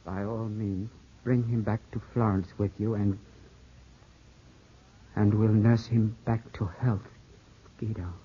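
An actor playing a suspicious, tormented young gentleman, intense and dramatic in 1950s radio drama style. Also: fairly low pitch, level tone, slow, pauses often, breathy edge. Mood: grave. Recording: muffled, hissy old 1950s radio broadcast.

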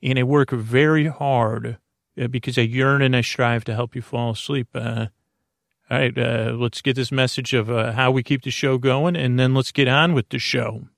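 A man's voice: mid-range voice, steady tone, normal speed, gravelly, a bit bright, dry recording, clean studio sound. A middle-aged man hosting a sleep podcast, talking in a meandering, hesitant bedtime monologue full of uhs. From Bay Area, California.

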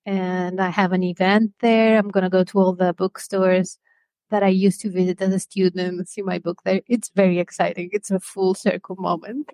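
Woman, measured and dry. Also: lowish voice, level tone, a little mellow, no room sound. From Greece. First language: Greek. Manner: measured, pausing often with "uh" and "um". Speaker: author guest. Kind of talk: podcast interview.

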